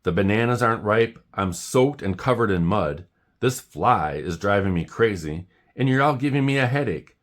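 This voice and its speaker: A man's voice: fairly low pitch, animated, moderate pace, voice pushed up, natural voice. Grumpy, exasperated monkey acted by a man. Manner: complaining, snappy, sighing. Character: grumpy, sulking, exasperated male monkey Jim. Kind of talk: children's storybook character voice.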